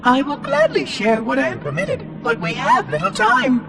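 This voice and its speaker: A fussy little robot caretaker with a high, bouncy voice. He speaks in a prim, condescending, quick way, swooping all over his range. Game cutscene with a score underneath. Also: huge pitch swings, over music, heavily acted. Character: fussy chirpy male AI monitor robot.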